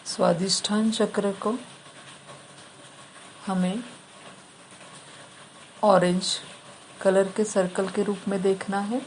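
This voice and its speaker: A woman leading a guided meditation, giving instructions in short, measured phrases with long pauses between them. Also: mid-range voice, level tone, a little mellow, a little hiss or hum, rough recording.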